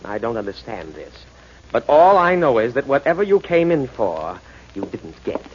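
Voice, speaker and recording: An actor playing an impatient, bossy crime boss, curt and commanding, in the style of a 1940s radio crime drama. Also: male voice, medium pitch, sing-song, normal speed, lightly acted. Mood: irritated, suspicious. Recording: muffled, noisy 1940s radio broadcast.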